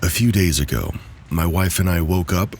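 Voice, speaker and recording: Low-voiced man narrating eerie tales. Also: normal speed, a little mellow, slight background noise. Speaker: narrator of submitted stories. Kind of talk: scripted narration of true spooky stories.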